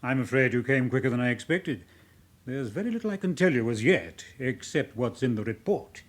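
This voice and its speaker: An actor playing a reserved British hospital registrar in a radio drama, formal, measured and clinical. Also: male voice, medium pitch, expressive, close to an everyday voice.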